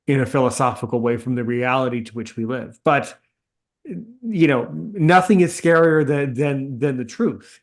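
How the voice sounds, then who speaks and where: A man hosting a casual interview, talking in a rambling way and repeating phrases and fillers. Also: mid-range voice. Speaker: interview host.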